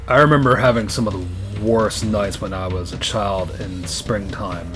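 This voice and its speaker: Low-voiced man chatting over game music. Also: normal speed. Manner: thinks aloud, short replies. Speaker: let's play co-host. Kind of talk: casual chat while playing.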